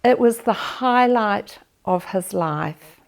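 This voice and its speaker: A New Zealand woman in her fifties or sixties tells her family's story for a documentary in an unhurried, thoughtful way. Her voice carries a gravelly edge, and she takes her time with frequent pauses. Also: mid-range voice. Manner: measured and reflective, with pauses. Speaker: documentary interviewee. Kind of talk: personal family memoir.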